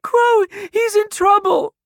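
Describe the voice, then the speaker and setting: A man voicing a hysterical, demanding little girl in an audiobook, shrill and breathless. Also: steady tone, slow pace, creaky, dry recording, clean studio sound, exaggerated voice. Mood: frightened, pleading. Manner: breathless, shrieking.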